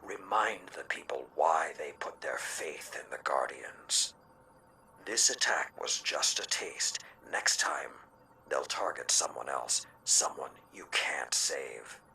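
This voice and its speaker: A hard-bitten old male warrior, stern and ominous. His voice is extremely deep, forceful and gravelly with a breathy edge. He sounds a little off-mic over faint noise. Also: expressive, slow pace, whispered, recorded from a distance, full character voice, rough recording. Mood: grim, urgent warning. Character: grim, hard-bitten old warlord veteran urging retribution. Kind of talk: dramatic game cutscene monologue.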